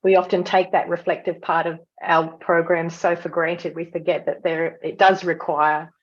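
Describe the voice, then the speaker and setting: Low-voiced woman on a muffled video call. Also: little pitch movement, normal speed. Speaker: course facilitator. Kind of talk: online class discussion. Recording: dull lo-fi video call audio.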